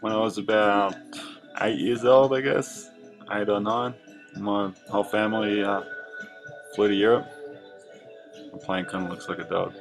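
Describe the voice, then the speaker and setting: An Australian man in his young adult years talks in a low, gravelly voice with a fairly flat delivery. He tells his story in a relaxed, rambling way, full of little fillers and restarts. Faint music plays underneath. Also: measured pace, pauses often. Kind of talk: casual narration over drawings.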